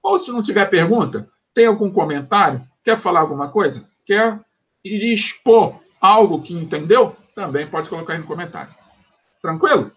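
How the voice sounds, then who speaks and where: Brazilian guy teaching sociology online, kinda high husky voice, muffled lo-fi sound. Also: lively intonation, a bit bright. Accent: Brazilian Portuguese. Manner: explanatory, checks understanding with quick asides. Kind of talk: recorded online class lecture.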